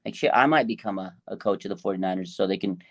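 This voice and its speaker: A man's voice: low voice, quick pace. A man co-hosting a sports fan show, chatting informally and agreeably, repeating himself for emphasis. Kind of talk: casual sports fan banter.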